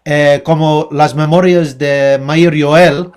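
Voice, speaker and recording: A man's voice: a little high, steady tone, takes it slowly, smooth. A man hosting an interview, hesitant and repeating words as he forms his questions. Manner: hesitant, repeats words, gives frequent soft acknowledgments. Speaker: interviewer host. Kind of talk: conversational interview.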